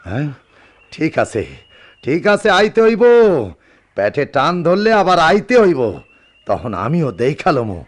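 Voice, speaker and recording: A man's voice: on the high side, very animated, measured pace, long pauses. An actor playing a scheming older village headman with a rural Bangladeshi accent, blustering and wheedling in a radio drama. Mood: bitter, vengeful. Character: scheming greedy village headman, father pleading with his grown son.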